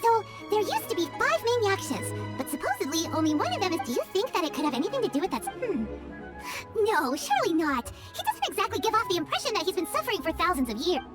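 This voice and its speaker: A woman voicing a tiny, chirpy, childlike fairy companion with a squeaky voice in a video game. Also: very animated, fast. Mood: curious, explaining. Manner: perky, speaks of self in third person. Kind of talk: video game character acting. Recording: loud background music.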